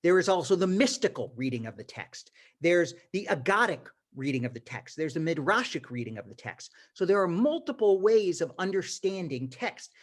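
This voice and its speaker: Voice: mid-range voice, moderate pace, few pauses. A teacher with an emphatic manner and many restarts, giving an informal lecture to a class over a video call. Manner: animated, emphatic, frequent restarts. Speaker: teacher leading a congregation class.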